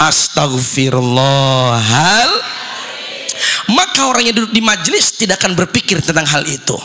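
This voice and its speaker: A man, hoarse after losing his voice, preaching a comic sermon and calling out questions to the congregation. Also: fairly high pitch, unhurried, soft background music. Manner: joking, lively, calls out questions to the crowd. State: hoarse, recovering from lost voice. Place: religious gathering.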